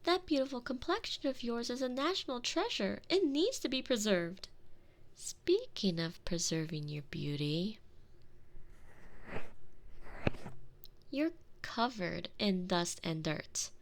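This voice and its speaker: A woman's voice: fairly high pitch, measured pace, a little hiss or hum. A woman playing a doting, possessive young noblewoman in a scripted roleplay, spoiled and insistent, speaking in a gushing, coaxing way straight to the listener. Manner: gushing, coaxing, expressive and intimate.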